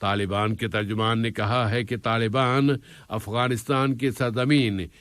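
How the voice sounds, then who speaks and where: A man reading a scripted news bulletin in a formal, measured, literary register. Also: medium pitch, steady tone, a bit bright. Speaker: news reader.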